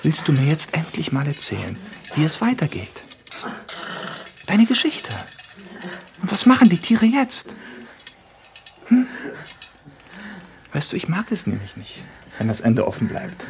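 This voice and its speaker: A man's voice: fairly high pitch, wildly swooping pitch, measured pace, creaky, pauses often, a little hiss or hum, full character voice. An actor playing a controlling, insistent man in a radio drama, cajoling as he presses his point. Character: controlling, insistent adult, by turns coaxing and commanding.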